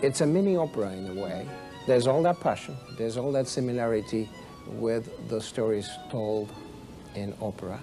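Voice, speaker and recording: A man's voice: medium pitch, moderate pace. A man from Argentina, an orchestra violinist speaking earnestly and measuredly in a documentary interview. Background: music.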